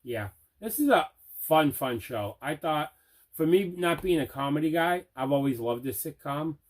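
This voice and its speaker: A man's voice: a little high, animated, moderate pace. Man casually showing off his movie collection. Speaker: host of a movie collection unboxing show. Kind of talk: casual unboxing chat.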